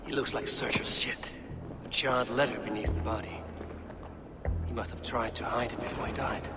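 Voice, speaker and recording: Man playing a terse Viking investigator under loud music. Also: medium pitch, steady tone, normal speed, lightly acted. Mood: brooding, deducing. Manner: terse, muttering observations to himself. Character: gruff adult male Viking raider investigating a murder. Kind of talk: video game voice acting. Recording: game music over the voice.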